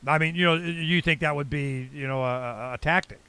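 A man's voice: a little high, brisk, pushed and bright, smooth. An American man around sixty hosting a casual interview, rambling and blunt with salty slang. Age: late 50s to early 60s. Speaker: talk show host.

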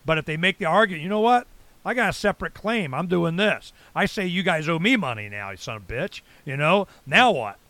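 A man of about sixty with an American accent and a slightly higher-set voice. He talks in a loose, rambling, blunt way with salty slang, like a talk show host chatting with a guest. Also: lively intonation, fairly quick, bright, forceful tone, no echo. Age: late 50s to early 60s. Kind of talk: casual conversational interview.

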